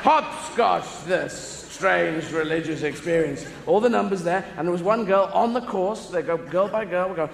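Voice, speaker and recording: A man's voice: sits high, moderate pace, gravelly, few pauses, slight background noise. A man in his thirties doing a rambling, playful stand-up routine on a large theatre stage. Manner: rambling, playful, acts out voices. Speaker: stand-up comedian. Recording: echoey live theatre recording. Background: audience laughter.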